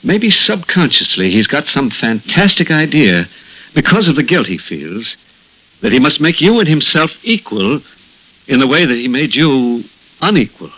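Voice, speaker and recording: An actor playing an earnest, worried doctor in a 1960s radio drama, pondering aloud in a deliberate way. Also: male voice, medium pitch, very animated, husky. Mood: alarmed. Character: earnest, worried hospital doctor, brooding and thoughtful. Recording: muffled old radio recording.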